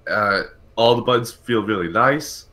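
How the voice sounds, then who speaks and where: A man hosting a casual gaming podcast, talking in a halting way and often repeating his words. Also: on the low side, sharp tone. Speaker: podcast host.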